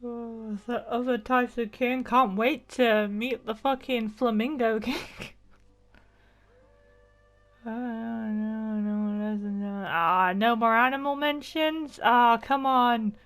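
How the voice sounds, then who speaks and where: A woman's voice: on the high side, steady tone, slow pace, fairly bright tone. A woman giving casual, chatty, reactive let's play commentary with plenty of swearing. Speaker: gaming video creator. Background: game music.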